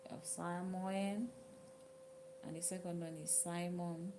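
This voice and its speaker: A Nigerian woman whose native language is Yoruba, chatting casually with viewers on a live stream, sometimes dropping to a soft whisper. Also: on the low side, level tone, unhurried, noisy background. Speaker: livestream host. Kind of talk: casual live chat reading comments.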